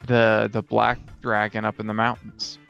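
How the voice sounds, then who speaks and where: A man's voice: fairly low pitch, completely flat delivery, normal speed, gravelly, fairly bright tone, clear, resonant tone, lo-fi sound, faint music behind. A man co-hosting a casual tabletop gaming podcast, feeling his way through sentences with repeated phrases. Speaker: podcast co-host.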